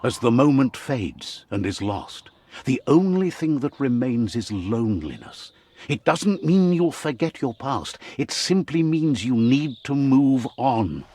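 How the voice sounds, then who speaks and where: A man playing a wry, philosophical narrator of an animal fable video game, telling it in a theatrical, measured, whimsical storybook style. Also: medium pitch, big pitch swings, darkish tone. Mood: solemn, reflective.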